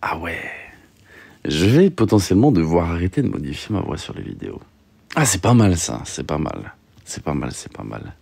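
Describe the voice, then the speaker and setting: A young man with a very low, gravelly voice, chatting casually as he answers his viewers. His delivery is halting and full of fillers and repeated phrases, and his pitch swings widely. The sound is close and dry. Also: big pitch swings, a little mellow, dry recording. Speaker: streamer reading and answering chat. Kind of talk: casual livestream chat.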